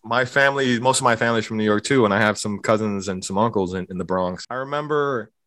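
A man's voice: lowish voice, fast talker, fairly bright tone, no echo. A man from Hialeah, Florida, hosting a podcast in a laid-back, casual way. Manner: laid-back, says bro a lot. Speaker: podcast host.

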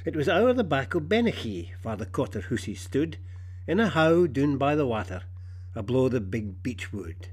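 A man with a Scottish accent reading rhyming fables in Scots as an expressive storyteller. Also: mid-range voice, moderate pace, husky. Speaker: storyteller, verse reader.

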